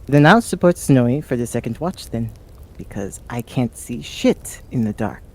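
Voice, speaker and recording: A tabletop game player role-playing in a casual, sweary way. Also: female voice, very deep voice, lively intonation, moderate pace, darkish tone, a little hiss or hum. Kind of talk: casual in-character role-play.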